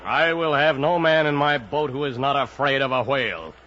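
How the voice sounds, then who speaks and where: An actor playing a steadfast, dutiful first mate, sober and respectful, in an old radio drama. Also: male voice, mid-range voice, moderate pace, pushed and bright, close to an everyday voice. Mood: grave. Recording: muffled, hissy old radio recording.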